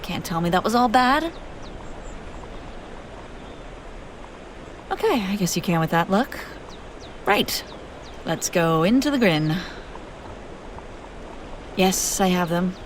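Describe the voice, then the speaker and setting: Woman acting a wry, low-voiced fantasy princess. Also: expressive, measured pace, long pauses, slight background noise. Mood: teasing, exasperated. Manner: exasperated, sardonic, bantering. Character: haughty, wry young fantasy princess on the run. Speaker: audio roleplay performer. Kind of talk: acted audio roleplay, one-sided dialogue.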